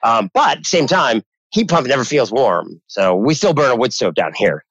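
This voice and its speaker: A man's voice: mid-range voice, sing-song, normal speed, fairly bright tone, slightly rough, dry recording, lo-fi sound. A man from New Hampshire in casual conversation, rambling with many restarts and repeated words. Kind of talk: casual tech podcast chat.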